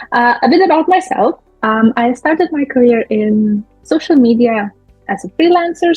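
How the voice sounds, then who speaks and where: A young woman from Lithuania, a guest speaking in a friendly, halting way with many fillers. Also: fairly high pitch, a little mellow, husky, dry recording. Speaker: guest, business owner. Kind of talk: casual interview talk. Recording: muffled, dull audio.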